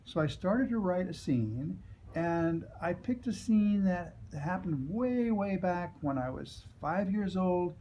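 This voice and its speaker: A man from the United States, an author reading his memoir and reflecting on it in a deliberate, dramatic storytelling manner. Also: high voice, lively intonation, mellow, highs cut off, a little hiss or hum.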